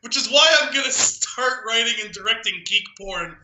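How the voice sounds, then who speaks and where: A man's voice: very high voice, moderate pace, roomy sound, noisy background. A man co-hosting a podcast with an amused manner, in casual talk and reading aloud. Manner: amused, often breaking into laughter while reading. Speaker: podcast co-host. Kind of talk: casual chat with dramatic poem reading.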